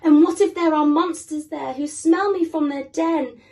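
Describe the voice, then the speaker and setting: Woman voicing a frightened little penguin boy, high-pitched. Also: moderate pace, mellow, far from the mic. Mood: frightened, anxious. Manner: worried, questioning. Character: small frightened young male penguin, anxious and pleading.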